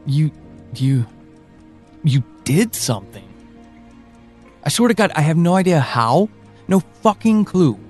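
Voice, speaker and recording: A man playing an evasive, uneasy friend in an audio drama, speaking in a halting, confessional way. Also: fairly high pitch, big pitch swings, a little mellow, faint music behind. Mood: troubled, insistent. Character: evasive male friend, uneasy, withholding a troubling memory.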